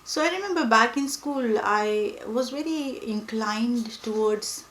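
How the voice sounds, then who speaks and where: A young woman whose first language is Hindi, talking reflectively and pausing often with fillers. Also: on the high side, expressive, a bit bright. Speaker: book vlogger. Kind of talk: reflective personal talk to camera. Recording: echoey room with faint ambience.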